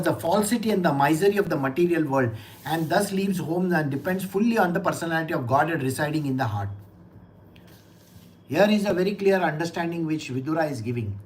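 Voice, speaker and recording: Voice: mid-range voice, poor audio. A spiritual teacher with an Indian English accent giving a scripture discourse in a scolding, sarcastic manner, slipping into Hindi phrases.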